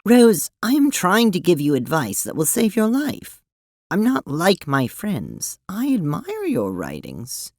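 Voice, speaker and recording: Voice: mid-range voice, huge pitch swings, unhurried, a little mellow, full character voice. A performer voicing a formal, long-winded young female alien advisor in an audio drama, speaking precisely and wordily. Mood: earnest, formal. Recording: noticeable background noise with clicks.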